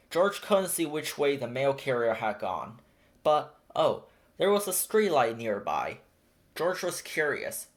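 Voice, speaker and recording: A storybook reader giving an expressive read-aloud narration for children. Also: medium pitch.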